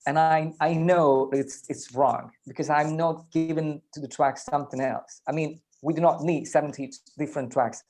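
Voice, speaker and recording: A man who produces music, talking in a casual panel chat in an earnest, self-deprecating, halting way. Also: on the high side, steady tone, a little mellow.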